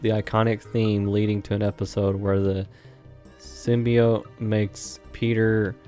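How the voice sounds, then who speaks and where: A young man from Indiana with a lowish, flat voice co-hosts a casual podcast, rambling loosely and filling his sentences. Faint music sits quietly underneath. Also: unhurried. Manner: rambling, lots of "like" fillers. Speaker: podcast co-host. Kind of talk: casual chat.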